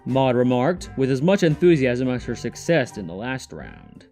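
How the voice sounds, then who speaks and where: A man's voice: mid-range voice, sing-song, moderate pace, a little mellow. A male narrator reads a scripted story for an audio drama. He tells it in the third person, with music playing behind his voice.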